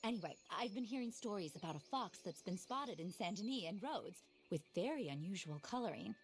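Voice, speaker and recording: A woman's voice: mid-range voice, lively intonation, brisk, slight background noise, lightly acted. An actress playing an eccentric, easily flustered lady naturalist in a video game, speaking in a genteel, fervent, theatrical way. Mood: intrigued. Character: earnest, flustered, eccentric lady naturalist who adores animals. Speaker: character in a video game cutscene. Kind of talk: dramatic game voice acting.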